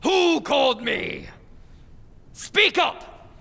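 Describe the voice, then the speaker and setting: A woman's voice: high-pitched, expressive, drawn-out words, gravelly, fairly bright tone, full character voice. A woman playing a vengeful, menacing male ghost knight in an old-time radio drama, declaiming in archaic speech. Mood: booming wrath. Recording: reverberant hall sound.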